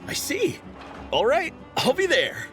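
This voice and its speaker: A man's voice: very high voice, wildly swooping pitch, slow pace, a bit bright, faint music behind, heavily acted. A man voicing an eager, naive young male gang fanboy in a video game, with a gushing manner. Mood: obliging.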